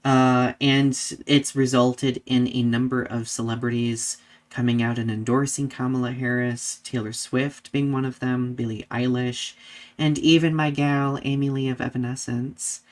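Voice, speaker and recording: Podcast cohost talking news casually, medium pitch voice, lots of likes. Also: flat delivery, measured pace. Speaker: podcast co-host. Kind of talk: live podcast discussion of current events.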